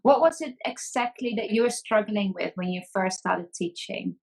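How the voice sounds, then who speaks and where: A woman with an ordinary mid-pitched voice who hosts a podcast. She asks her guest questions in a warm, encouraging way and laughs easily. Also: normal speed, gravelly, slightly rough. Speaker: podcast host. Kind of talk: podcast interview.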